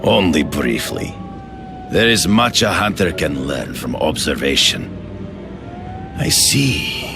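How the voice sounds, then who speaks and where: A proud, aging hunter who lives by a code of honor. His voice is extremely deep and gravelly, and he speaks slowly, with grave formality. Game music plays under the lines. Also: heavily acted. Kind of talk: video game cutscene.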